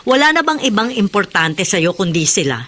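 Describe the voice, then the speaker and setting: A woman's voice: medium pitch, very animated, normal speed, fairly bright tone. An actress playing an anguished, careworn middle-aged mother, pleading and emotional in radio drama style. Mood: weary reproach. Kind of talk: Filipino radio drama acting.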